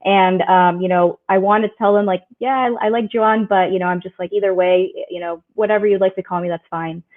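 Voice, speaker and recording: A woman's voice: mid-range voice, fairly even intonation, fairly quick. A woman from Puerto Rico moderating an online panel discussion and asking guests follow-up questions. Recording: tinny phone-like audio.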